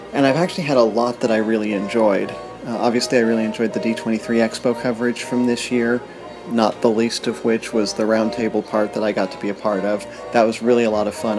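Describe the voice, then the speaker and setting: A man, a podcast host, leaving a friendly, casual congratulatory message. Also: mid-range voice. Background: music.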